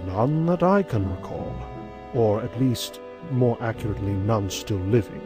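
A man voicing a wise, patient old mammoth mentor with a solemn, measured delivery in an animated comic dub. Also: fairly low pitch. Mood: thoughtful. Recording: music behind the voice.

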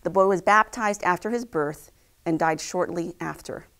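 A woman's voice: on the low side, moderate pace, a bit bright. Formal young woman news anchor. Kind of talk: scripted news headlines read to camera. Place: indoor news studio.

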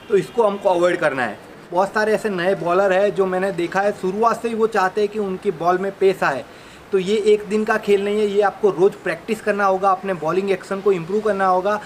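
A man in his thirties speaks in a high-pitched voice. He gives step-by-step coaching straight to viewers while demonstrating the moves. The recording is made outdoors on an open rooftop, with light ambient noise behind him. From India. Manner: demonstrating with gestures while talking, addressing viewers directly. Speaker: cricket bowling coach and video host. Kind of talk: instructional explanation to camera.

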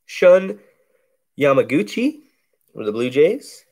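A man with a low, bass-heavy voice talks at an easy, unhurried pace as he opens packs. He names each card in a laid-back, conversational play-by-play. The sound comes from a camera over a table indoors. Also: big pitch swings, darkish tone. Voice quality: deep, bassy voice. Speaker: card break host. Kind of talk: casual card-opening commentary. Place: indoors at a table covered in cards.

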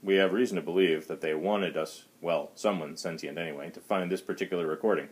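An earnest starship science officer in a science fiction story, read out by a man. The voice is low, measured and formal. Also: fairly even intonation, natural voice. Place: small home study, indoors.

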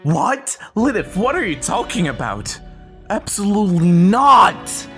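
A frantic male ruler of hell who swings wildly in pitch and pleads in distress, as a performer puts him on. He talks slowly with rising panic, faint music behind. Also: very animated, a bit bright. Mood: exasperated. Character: anxious male king of hell, emotional and frantic. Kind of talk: fan comic dub.

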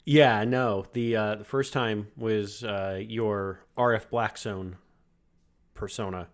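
A man's voice: lowish voice, steady tone, slow pace. A man in his thirties hosting a podcast interview over a video call, loose and friendly, rambling with many fillers. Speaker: podcast host. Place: room at home. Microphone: headset with desk mic on video call.

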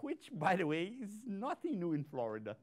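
An older Brazilian man with a Portuguese accent gives an animated lecture in a large auditorium, often tagging sentences with "okay?". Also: sits high, normal speed. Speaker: guest speaker, scientist. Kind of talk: conference lecture to an audience.

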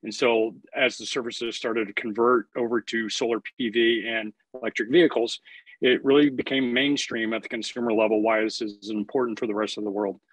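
A man's voice: medium pitch, level tone, brisk, husky, dry recording, low-quality recording. Man in his thirties, dry close sound. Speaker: energy efficiency expert. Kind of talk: video call interview. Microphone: video call.

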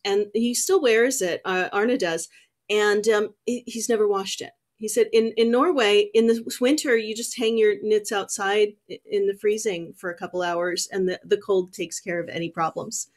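A woman in her thirties chatting casually on a video call as a knitting show host, thoughtful and often rephrasing as she goes. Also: medium pitch. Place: small indoor room at home. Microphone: computer mic on a video call.

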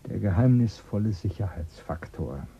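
Skeptical visitor asking questions, lowish gravelly voice, a bit muffled. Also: male voice, expressive, normal speed, mellow, a little hiss or hum. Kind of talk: German radio drama acting.

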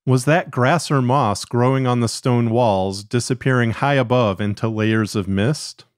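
A man's voice: medium pitch, measured pace, no room sound, studio quality. A man reading scripted literary fiction as a podcast narrator.

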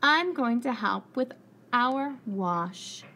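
Storybook little girl played by a woman reader, earnest and exclaiming, high voice with animated swoops. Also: very slow, heavily acted. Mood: caring. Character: young girl devoted to her toy bear. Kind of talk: children's storybook read-aloud.

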